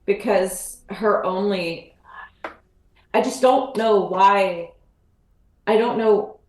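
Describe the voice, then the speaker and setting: A woman chatting casually about a tabletop game as a podcast panelist, thinking aloud in halting, repeated phrases. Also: mid-range voice, darkish tone, a little hiss or hum. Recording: roomy, echoing sound.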